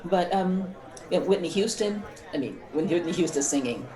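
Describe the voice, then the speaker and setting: A woman chatting casually in a group as a podcast panelist, in a halting, conversational way. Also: lowish voice, lively intonation, a crowd in the background. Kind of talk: casual group chat about holiday movies. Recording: echoey room, background voices.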